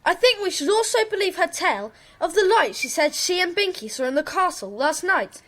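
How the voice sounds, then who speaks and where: A woman's voice: very high voice, sing-song, a little fast, a bit bright, exaggerated voice. A woman playing an earnest schoolboy detective in a children's audio drama.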